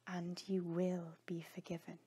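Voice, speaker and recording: A woman playing a gentle, earnest, forgiving peacemaker in a dramatic audio story, speaking softly. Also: fairly low pitch, completely flat delivery, a little slow, breathy edge. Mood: consoling.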